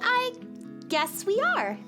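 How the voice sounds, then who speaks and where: A curious little girl in a children's radio play, very high and bouncing all over in pitch. She sounds eager and wide-eyed, with guitar music playing underneath. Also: sing-song, takes it slowly, bright, forceful tone, heavily acted. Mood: timid.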